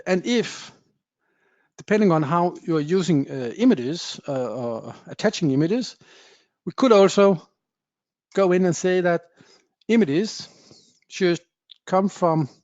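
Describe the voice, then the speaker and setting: Man giving a software demo. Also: high voice, lively intonation, takes it slowly, pauses often, dry recording. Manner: plain explanatory talk with frequent uh fillers, non-native phrasing. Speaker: software presenter. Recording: dull dry webinar audio.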